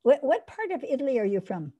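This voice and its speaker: A woman's voice: sits high, big pitch swings, fast talker, dark tone, breathy edge. An older woman hosting an online course on a video call, explaining eagerly and restarting her sentences often. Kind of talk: teaching and taking questions on a video call.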